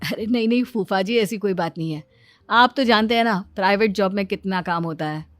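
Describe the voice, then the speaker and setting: A woman's voice: mid-range voice, brisk, dry recording, close to an everyday voice. A woman playing a proud, questioning middle-aged mother-in-law in a comedic family story. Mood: mildly puzzled. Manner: expressive, questioning. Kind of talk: comedic Hindi story dubbing.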